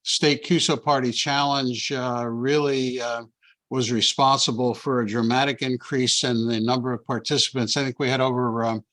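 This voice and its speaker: Man making halting informal remarks. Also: medium pitch, little pitch movement, a bit bright. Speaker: state committee member thanking presenter. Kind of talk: informal remarks on a video call. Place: video call.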